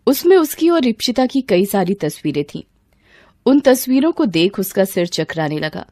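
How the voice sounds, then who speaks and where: Female storyteller. Mellow, soft-edged tone, dry close recording, scripted drama narration. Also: mid-range voice, sing-song, moderate pace, dry recording.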